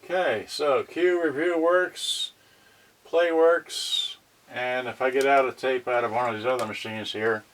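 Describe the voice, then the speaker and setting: A man's voice: mid-range voice, a little slow. Rambling man chatting over noisy recording. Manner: rambling, thinking aloud, trailing off mid-thought. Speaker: host of an electronics unboxing channel. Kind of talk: casual, unscripted unboxing chat. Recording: noisy home video with clicks.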